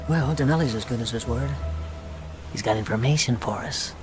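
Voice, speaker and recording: Male actor as genial spy handler, medium pitch, breezy briefing, WWII game cutscene with music. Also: fairly quick, natural voice. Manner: breezy, familiar. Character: genial British intelligence officer briefing his friend. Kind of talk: video game cutscene acting.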